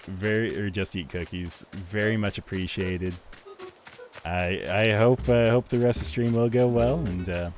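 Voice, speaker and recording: A young man with a deep voice chatting casually with viewers while he plays a game on a live stream, rambling in a relaxed way. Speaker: livestreamer. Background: faint game music.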